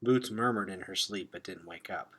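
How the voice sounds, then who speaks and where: A man reading a children's novel aloud with expressive, dramatic emphasis, sometimes hushed. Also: low-pitched, moderate pace. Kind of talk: expressive storybook read-aloud.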